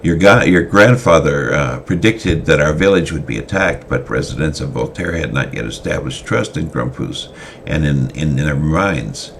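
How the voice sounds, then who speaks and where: A man giving a semi-dramatic reading of his own story, halting now and then with fillers and restarts. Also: a bass voice, animated, vocal fry, a bit bright, slight background noise.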